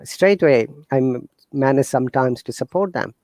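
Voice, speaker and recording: Bangladeshi-accented man, earnest and halting. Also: mid-range voice, no echo, studio quality. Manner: earnest, animated, halting with fillers and restarts. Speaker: guest teacher. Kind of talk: casual interview talk.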